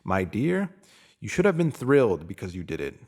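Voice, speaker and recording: Deliberate, emphatic male narrator. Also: on the low side, big pitch swings, darkish tone, breathy edge. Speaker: narrator reading a spiritual self-help post. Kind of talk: scripted motivational reading.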